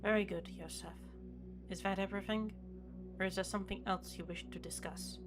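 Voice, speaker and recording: A woman playing a dutiful, formal starship prefect with a measured, official manner in a sci-fi audio drama. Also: mid-range voice, steady tone, music in the background, natural voice. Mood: composed, formal.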